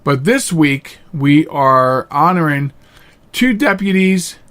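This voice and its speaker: A man hosting a podcast in a casual, unscripted monologue, earnest and plain-spoken, halting at times and repeating phrases for emphasis. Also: on the high side, lively intonation, fairly bright tone. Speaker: podcast host.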